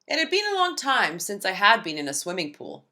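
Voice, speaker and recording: Animated, bright-voiced woman reading a storybook. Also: medium pitch, brisk. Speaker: read-aloud storyteller. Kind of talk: expressive children's picture book read-aloud.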